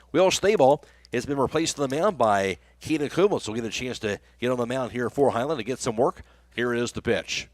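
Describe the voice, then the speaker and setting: A man's voice: mid-range voice, dry recording. A man working as a radio play-by-play announcer, calling baseball and reading sponsor spots. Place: live radio broadcast from a ballgame.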